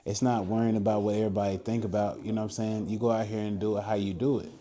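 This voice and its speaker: A man's voice: lowish voice, little pitch movement, rapid-fire, warm tone. A man hosting a podcast interview in a casual, easygoing way, often laughing and filling with stock phrases. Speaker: podcast host.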